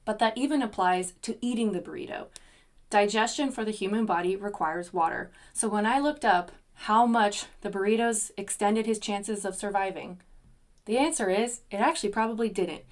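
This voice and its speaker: A woman's voice: mid-range voice, normal speed. A young adult woman hosting a solo podcast, chatty, with self-deprecating asides. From United States. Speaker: podcast host.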